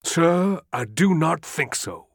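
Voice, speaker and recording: A man's voice: fairly high pitch, sing-song, slow pace, gravelly, dry recording, studio quality, pitched above the performer's own voice, full character voice. A man voicing a worried, insistent general. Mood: urgent. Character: weary, worried male general pleading his case.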